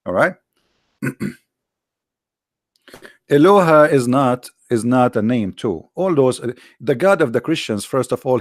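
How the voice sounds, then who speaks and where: A man whose first language is Arabic, lecturing combatively over on-screen text, with many halting fillers and slow, drawn-out stress on key words. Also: mid-range voice, no echo, studio quality. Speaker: religious commentator.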